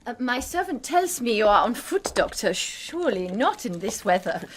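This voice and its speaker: A woman's voice: on the high side, sing-song, normal speed. A woman acting in a period radio drama as a genteel, anxious planter's wife, polite and hesitant. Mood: polite, welcoming.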